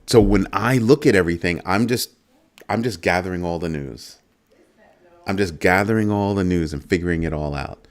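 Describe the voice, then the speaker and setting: A middle-aged man from the United States hosting a crypto livestream, talking casually to his viewers and repeating phrases with playful asides. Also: moderate pace. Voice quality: deep voice. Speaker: crypto show host.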